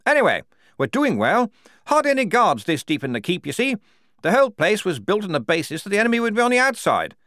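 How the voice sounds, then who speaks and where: A man voicing a blustering, hearty young army major for an audiobook, booming and jovial, tacking "eh?" onto his lines. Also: big pitch swings, fast, fairly bright tone, dry recording, clean studio sound. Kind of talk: audiobook character voice.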